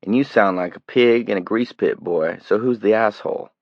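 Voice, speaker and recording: A man's voice: lowish voice, moderate pace, no echo, dull audio. A man playing a cruel, menacing middle-aged man who taunts and sneers. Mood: taunting menace.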